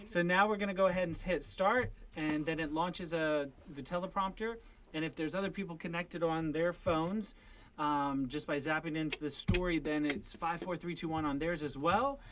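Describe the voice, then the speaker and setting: A man's voice: fairly high pitch, normal speed, fairly bright tone, slight background noise. A man, a father casually coaching and encouraging his family through a Bible read-along. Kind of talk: casual family instruction and chat.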